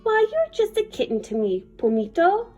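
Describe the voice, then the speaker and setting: A woman's voice: very high-pitched, big pitch swings, moderate pace, mellow, muffled recording, pitched above the performer's own voice. A woman voicing a kind, bold giant village woman from a storybook. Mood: fond. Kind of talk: storybook character reading.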